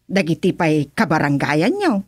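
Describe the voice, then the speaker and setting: A woman's voice: deep voice, expressive, normal speed, breathy edge, no echo, studio quality. An actress playing a woman who sweet-talks a village chairman in a sing-song, coaxing way in a radio drama. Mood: flattering. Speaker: supporter flattering the chairman.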